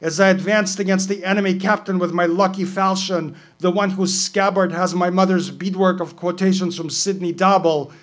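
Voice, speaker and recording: Pirate narrator, bold and brooding, high-pitched, as a man puts it on. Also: fairly even intonation, normal speed, few pauses, lightly acted. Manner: loud, declaiming, long winding sentences.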